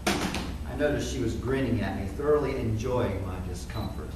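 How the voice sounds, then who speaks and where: A man's voice: lowish voice, moderate pace, close to an everyday voice. A man playing a wry, exasperated cat owner, telling a comic first-person story theatrically in a stage read-through. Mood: wry narrating. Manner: animated, expressive delivery. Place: indoor theater stage, recorded from afar. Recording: echoey theater, distant mic, faint noise.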